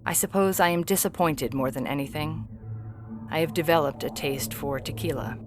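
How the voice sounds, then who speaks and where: A woman's voice: low-pitched, normal speed, music in the background, close to an everyday voice. A woman playing a weary alien ambassador in human disguise, speaking in formal, stilted, precise phrases for an audio story. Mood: measured, disapproving. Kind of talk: scripted audio fiction reading.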